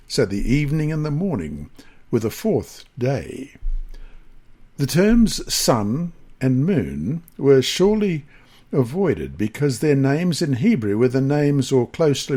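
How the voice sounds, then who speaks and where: Man, husky voice, lively rise and fall, deliberate Bible lesson reading. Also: medium pitch. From Australia.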